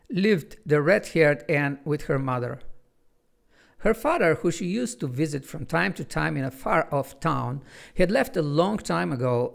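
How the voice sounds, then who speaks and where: A man's voice: a little high, creaky. A man from Bulgaria with a Bulgarian accent, chatting earnestly and then reading his own children's book aloud. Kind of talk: chatty intro, then storybook read-aloud.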